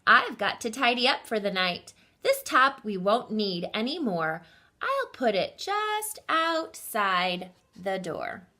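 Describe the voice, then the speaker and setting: A woman voicing a drowsy, gentle mother bear in a storybook, unhurried and yawny. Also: a little high, big pitch swings, exaggerated voice. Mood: motherly.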